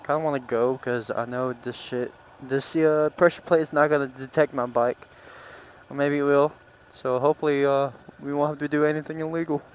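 A man's voice: medium pitch, fairly even intonation, a little fast, a little hiss or hum. A man giving casual, rambling commentary while riding a motorcycle, thinking aloud as he goes. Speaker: motorcycle vlogger. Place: outdoors, riding a motorcycle on city roads.

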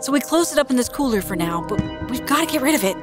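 A woman's voice: high-pitched, animated, quick pace, music in the background. A woman playing an earnest, worried character in serious audio drama dialogue.

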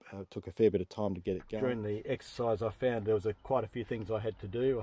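Mellow, low-voiced Australian man. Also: no echo. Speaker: off-road camping vlogger. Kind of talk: casual explanatory vlog. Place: inside a parked truck cab in the bush.

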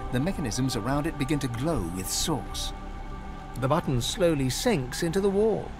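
An actor playing a solemn storyteller who narrates a fantasy video game in a deliberate, descriptive way. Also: male voice, fairly high pitch, close to an everyday voice.

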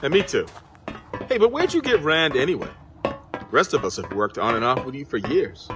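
A man's voice: mid-range voice, sing-song, moderate pace, over music, close to an everyday voice. A man playing a grumbling, sarcastic spaceship officer in an audio drama. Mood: wry grumbling. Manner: sarcastic, griping.

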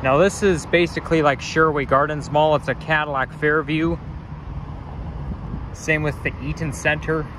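Male walking vlogger, slightly high voice; rambling, self-correcting chatter, outdoor street noise behind. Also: steady tone, moderate pace. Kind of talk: casual walking vlog commentary. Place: outdoors on city sidewalks near traffic.

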